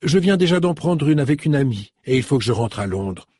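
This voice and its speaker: A man's voice: medium pitch, expressive, a little mellow, breathy edge, dry recording, clean studio sound. A man voicing a polite, composed middle-aged woman in an audiobook, in a slightly raised voice. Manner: courteous, measured.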